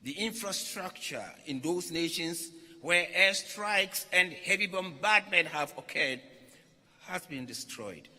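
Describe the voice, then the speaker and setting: A man reads a formal speech aloud as a religious leader, in deliberate, emphatic phrases with short pauses. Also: high-pitched, lively intonation, pushed and bright. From Ghana. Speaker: religious leader reading a message at a conference. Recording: echoey hall with long reverb.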